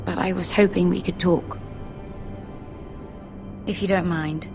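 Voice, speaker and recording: An actress playing a friendly young handmaiden in a fantasy game, with a chatty, teasing manner. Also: female voice, fairly low pitch, steady tone, unhurried, over music. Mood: hesitant. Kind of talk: dramatic fantasy game acting.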